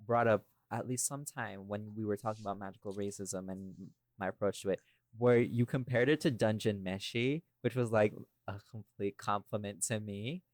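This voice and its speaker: A man's voice: on the low side, fairly even intonation, normal speed, a little mellow, no echo. A man in a casual podcast chat as a creator and co-host, speaking informally with some swearing and tag questions.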